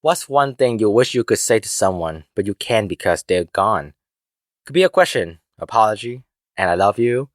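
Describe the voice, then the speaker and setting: A man's voice: fairly low pitch, expressive, moderate pace, no room sound, clean studio sound. A man reading a scripted prompt to listeners as a podcast announcer.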